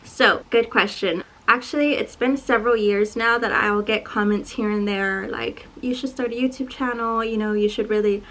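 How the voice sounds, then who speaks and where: A woman in her twenties from Dallas, Texas, chatting casually to camera in a get-to-know-me Q&A video, soft-spoken and a little shy. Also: medium pitch, fairly even intonation, normal speed, few pauses, low-quality recording. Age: 20s. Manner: soft-spoken, self-described shy and quiet. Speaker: YouTuber.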